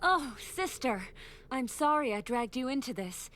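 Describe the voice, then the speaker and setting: A woman's voice: sits high, expressive, unhurried, fairly bright tone. A woman playing a tender, determined young sister in a dramatic fantasy adventure game. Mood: tender, apologetic. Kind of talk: dramatic video game acting.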